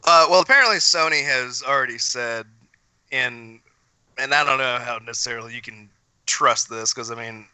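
A man's voice: medium pitch, moderate pace, pushed and bright, clear, resonant tone, no room sound, low-quality recording. A man on a podcast panel, chatting casually about pop culture.

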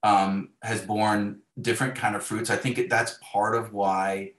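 A man's voice: lowish voice, little pitch movement, measured pace, fairly bright tone, reverberant. A middle-aged man speaking as a novelist in an interview, reflective and discursive, with wry self-deprecation.

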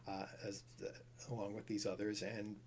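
A man explaining the history of grammar rules in a casual, thoughtful conversation, often pausing with fillers. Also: on the low side, completely flat delivery, darkish tone. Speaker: language expert guest.

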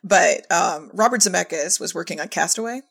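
A woman's voice: fairly low pitch, normal speed, vocal fry, a bit bright. A woman chatting casually as an audio drama creator, telling anecdotes and acting out quoted remarks in an informal way. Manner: informal, quotes herself and others conversationally. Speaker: audio drama writer-director in conversation. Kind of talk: casual chat, anecdotal storytelling.

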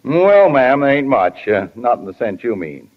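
An actor playing a wry, folksy small-town American man, slow to commit, in 1940s radio drama style. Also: mid-range voice, sing-song, close to an everyday voice. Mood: plain, candid. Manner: folksy, drawling, deliberate. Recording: dull, echoing 1940s radio recording.

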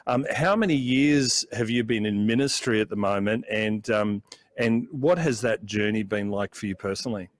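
A man hosting a conversational church interview, feeling his way through questions in a halting manner. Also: fairly low pitch, no echo. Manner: halting, searching for words.

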